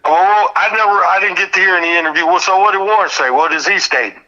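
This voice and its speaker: A man's voice: high voice, quick pace, pushed and bright, highs cut off. An American man recalling events in a casual interview, emphatic and repetitive, with plenty of slang. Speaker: podcast guest.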